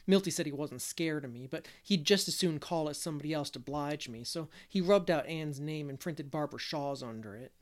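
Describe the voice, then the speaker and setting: A woman voicing a lively little boy who chatters eagerly as she reads an audiobook. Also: deep voice, brisk, few pauses, natural voice. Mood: chatty. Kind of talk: audiobook character voice.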